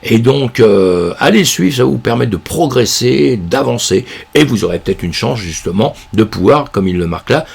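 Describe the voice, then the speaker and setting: A man with a cold, hoarse and congested, commenting casually on crypto news in a rambling, chatty way, with lots of fillers and restarts. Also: medium pitch, animated, moderate pace, hardly any pauses, a little mellow. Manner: chatty, addresses viewers as friends.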